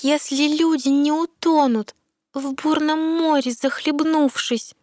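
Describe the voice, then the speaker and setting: Innocent young mermaid princess, high voice, slow earnest verse, wistful, fairy-tale audio play, dry sound. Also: female voice, fairly even intonation, darkish tone, dry recording. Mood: anxious questioning. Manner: earnest, questioning.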